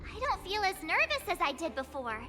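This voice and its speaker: Very high-pitched earnest young girl. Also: big pitch swings, fairly quick. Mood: earnest, relieved. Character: bubbly anxious young girl apprentice fighter. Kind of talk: anime video game acting. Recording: game music behind the voice.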